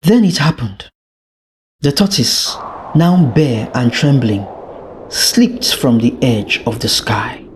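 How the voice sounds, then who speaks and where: Folktale storyteller, scripted narration, extremely slow pace, deliberate, dramatic, mid-range pitch. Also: lively intonation, a little hiss or hum.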